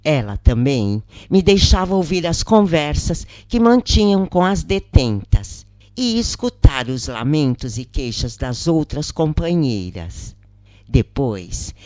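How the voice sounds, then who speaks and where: A woman with a Brazilian accent narrates a story at a slow, measured pace. Her reading is careful and scripted, like an audiobook, in a low-set voice recorded dry and close. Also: a little mellow, dry recording. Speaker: narrator reading a spiritist account.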